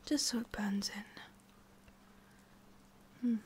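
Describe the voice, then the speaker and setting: A woman playing a lonely, guarded immortal witch in an intimate ASMR roleplay, speaking softly and often dropping to a whisper. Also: fairly high pitch, takes it slowly, a little hiss or hum. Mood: guarded, gentle.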